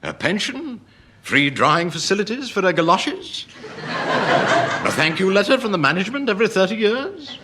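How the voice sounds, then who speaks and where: Animated, blustering English civil servant. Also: male voice, high voice, normal speed, very noisy. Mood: indignant. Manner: blustering, stammering, jovial. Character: pompous, work-shy middle-aged male civil servant. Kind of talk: British radio sitcom acting.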